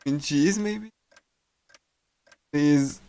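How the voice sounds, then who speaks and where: A man streaming a visual novel, casually reading and translating game text aloud in a halting, hesitant way. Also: fairly high pitch, warm tone, no room sound. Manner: hesitant, halting, with small chuckles.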